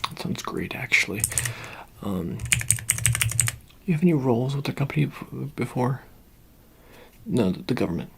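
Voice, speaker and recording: A man speaking softly in an ASMR roleplay, halting and casual, often slipping into a whisper. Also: expressive, noisy background. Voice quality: soft, breathy, often dropping into whisper. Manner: halting, casual, low-key official patter. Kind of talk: ASMR roleplay, soft-spoken, improvised.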